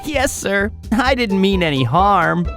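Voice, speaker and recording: Melodramatic little boy tractor, wildly animated. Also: mid-range voice, slow pace. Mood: sheepish. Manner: childlike, melodramatic cries. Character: mischievous little boy tractor, pleading and whiny. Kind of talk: children's cartoon voice acting. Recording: loud music behind the voice.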